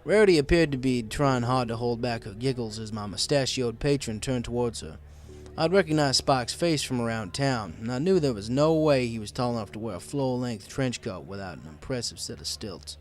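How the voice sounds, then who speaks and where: Man, story narrator, medium pitch, lively varied intonation, first-person storytelling, light background music. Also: moderate pace, few pauses.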